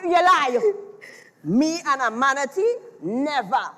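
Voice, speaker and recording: A woman's voice: high voice, takes it slowly, heavily acted. A woman playing a jealous, teasing old river serpent spirit on stage, melodramatic, with a Trinidadian accent. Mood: indignant, jealous. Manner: expressive, melodramatic. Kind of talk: theatrical stage dialogue. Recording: echoey theatre hall, some background noise.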